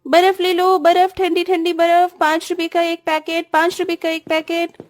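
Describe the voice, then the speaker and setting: A woman's voice: extremely high pitch, flat delivery, moderate pace, no room sound, studio quality. A woman voicing eager, sweet young orphan sisters in an exclaiming, sing-song cartoon storybook manner. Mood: calling out. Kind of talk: Hindi animated moral story dialogue.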